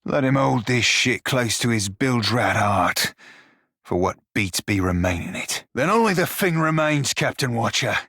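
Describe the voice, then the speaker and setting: A man voicing a sly, foul-mouthed pirate shiphunter in a video game, husky, with a pirate-style dialect and salty seafaring slang. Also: a little high, pushed and bright, no echo, clean studio sound, exaggerated voice. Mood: grim, salty, then agitated, indignant. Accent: pirate-style English dialect. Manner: salty seafaring slang, colourful cursing, wry. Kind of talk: video game character dialogue.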